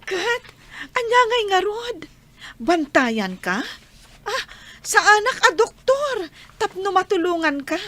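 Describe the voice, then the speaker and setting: A woman's voice: very high-pitched, very animated, slow, pauses often, fairly bright tone, heavily acted. A woman playing a nagging, fed-up wife with an Ilocano accent in a radio drama, scolding and sarcastic. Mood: bitter sarcasm. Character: nagging, fed-up wife short of rent money.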